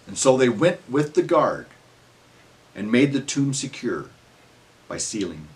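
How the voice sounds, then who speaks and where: A man narrating scripture as a solemn dramatic reading, in measured phrases that trail off at the ends. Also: mid-range voice, very animated, darkish tone.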